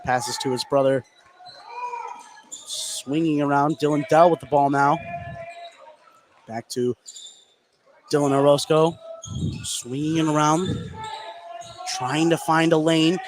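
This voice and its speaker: A man's voice: on the high side, steady tone, slow pace, pauses often. A man giving live play-by-play basketball commentary on the radio, narrating the action in running, conversational fragments. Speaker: play-by-play announcer. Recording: clear ambient noise behind commentary.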